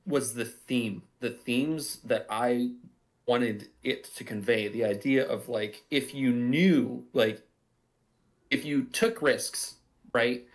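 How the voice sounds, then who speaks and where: A man with a medium-pitched voice in an unscripted commentary discussion. He is reflective and halting, often restarting a phrase to word it more exactly, as a writer talking about his own work. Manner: halting, reflective, circling back to rephrase. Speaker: audio drama writer and creator.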